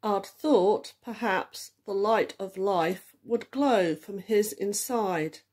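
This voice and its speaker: A woman reading a ballad poem aloud in a measured recitation. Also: mid-range voice, darkish tone, slightly rough. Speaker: church group leader reading aloud.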